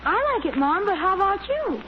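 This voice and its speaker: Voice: extremely high pitch, big pitch swings, brisk. A performer playing a curious, easygoing young American boy in a 1940s radio sitcom. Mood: frank. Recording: muffled, noisy 1940s radio broadcast.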